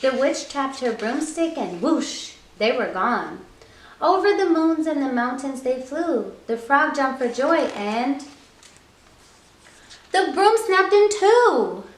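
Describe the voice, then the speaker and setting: High-voiced woman reading a children's storybook. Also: slow. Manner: expressive, rhythmic rhyming delivery. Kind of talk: animated picture-book read-aloud. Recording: echoey room.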